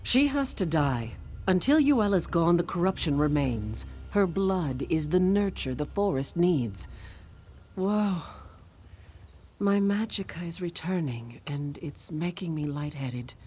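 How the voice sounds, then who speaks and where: A woman playing an earnest, determined forest witch ally in a fantasy video game, with a measured delivery. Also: fairly low pitch, sing-song, darkish tone, a little hiss or hum. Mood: resolute. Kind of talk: video game character acting.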